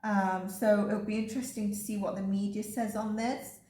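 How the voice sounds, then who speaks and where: A woman giving a casual psychic reading, rambling and filling her pauses with "um" and "like". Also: mid-range voice, little pitch movement, warm tone. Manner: rambling, with frequent ums and likes. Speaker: psychic energy reader. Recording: echoey room.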